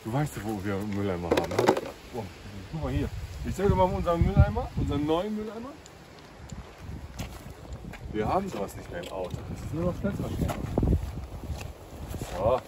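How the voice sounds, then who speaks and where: A man's voice: medium pitch, sing-song, brisk, long pauses. A man in his thirties chatting casually through a family travel vlog, with frequent tag questions. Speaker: vlogger. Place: inside a camper van and outdoors by it. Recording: road and vehicle noise.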